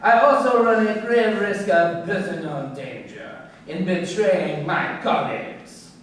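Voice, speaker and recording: High-voiced, wary foreign crook played by a man. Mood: wary, commanding. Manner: deliberate, formal, threatening. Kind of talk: radio crime drama acting. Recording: very echoey, distant-sounding room recording.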